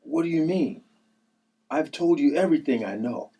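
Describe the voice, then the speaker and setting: A man's voice: fairly high pitch, expressive, normal speed, mellow, husky, poor audio. A man playing an uneasy, defensive uncle in a table read of a drama script.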